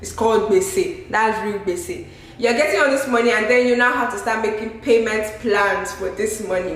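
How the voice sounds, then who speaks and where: A young Nigerian woman with a Nigerian accent, chatting casually as a vlogger and gesturing as she talks. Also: medium pitch. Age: young adult. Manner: chatty, gestures while talking. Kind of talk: casual commentary. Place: indoor room. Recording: echoey room with faint noise.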